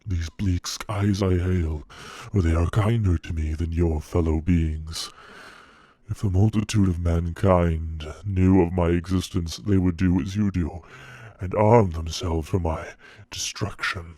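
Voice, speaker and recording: Male actor as anguished monster, bitter and pleading, solemn stage monologue, very low pitch, halting pauses, dry close sound. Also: steady tone, mellow, dry recording. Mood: vengeful. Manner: solemn, theatrical, halting delivery with long pauses. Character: wretched, bitter, pleading monster addressing his creator. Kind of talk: dramatic literary monologue.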